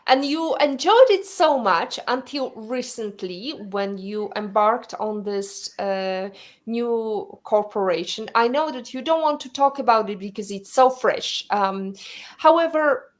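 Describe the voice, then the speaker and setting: A woman's voice: a little high, lively intonation, a little slow. A woman hosting a live stream interview, searching for words with frequent fillers as she sets up her questions. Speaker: host.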